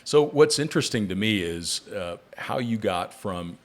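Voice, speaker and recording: A man's voice: on the low side, expressive, measured pace. A man hosting a podcast, interviewing his guest and reading the sponsor message. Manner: friendly, brief affirmations while listening. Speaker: podcast host. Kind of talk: podcast interview and host-read ad.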